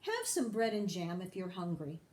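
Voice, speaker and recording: A woman's voice: fairly low pitch, sing-song, moderate pace, darkish tone, a little hiss or hum. A woman voicing a patient, practical mother in a children's storybook in a matter-of-fact way.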